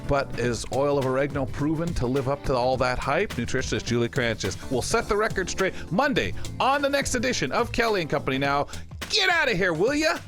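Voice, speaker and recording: A man's voice: a little high, sing-song, brisk, fairly bright tone. A man hosting a talk show, chatting with guests and addressing listeners in a radio sign-off style. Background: music.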